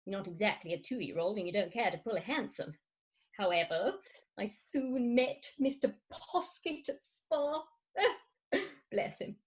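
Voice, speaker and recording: A woman's voice: medium pitch, animated, unhurried, husky, lo-fi sound. A woman acting a fretful, melodramatic Victorian wife, swooping voice. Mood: confiding. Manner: melodramatic, confiding, emphatic. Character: fretful, theatrical Victorian wife of thirty-six. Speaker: actor in an amateur staged reading. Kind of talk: Victorian farce stage acting. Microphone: video call.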